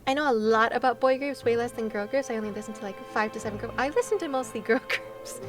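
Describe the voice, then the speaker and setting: A woman's voice: a little high, quick pace. A young woman hosting a livestream, chatting casually with viewers in a playful, exclaiming, giggly manner. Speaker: livestream host. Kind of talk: casual chat with stream viewers over a game. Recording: game music behind the voice.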